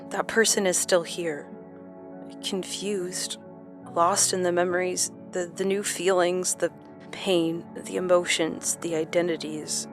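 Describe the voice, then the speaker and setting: A woman's voice: on the low side, level tone, takes it slowly, over music. A woman playing a troubled, introspective woman haunted by fractured memories, speaking solemnly in a horror audio drama. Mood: wistful, uneasy.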